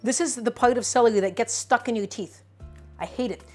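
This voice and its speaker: A young woman chats her way through a cooking stream in a voice pitched a little high. She teases her viewers and walks them through each step as she chops. Soft music plays underneath. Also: brisk. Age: 20s. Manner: playful, teasing, explains step by step while chopping. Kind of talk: casual cooking livestream, chatting with viewers.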